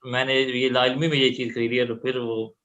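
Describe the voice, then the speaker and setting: A muffled, lo-fi recording of a Pakistani man answering as a law student. His pitch stays quite flat. He summarizes haltingly, groping for the right phrasing. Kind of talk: spoken answer in class, summarizing.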